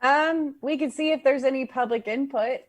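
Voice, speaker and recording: A woman's voice: high voice, moderate pace, no room sound. A woman working as staff at a public board meeting, reading out items and talking informally through procedure. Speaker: city zoning board staff member.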